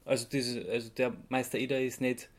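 A man's voice: medium pitch, little pitch movement, fairly quick. Austrian man chatting through podcast research. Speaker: podcast host presenting research. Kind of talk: casual podcast chat, explaining research.

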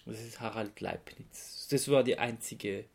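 An Austrian podcast co-host talks in a casual, conversational register. The delivery is explanatory, at a middling pitch, like informal discussion between fans. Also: a little slow, creaky, slightly rough. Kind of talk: casual chat about radio plays.

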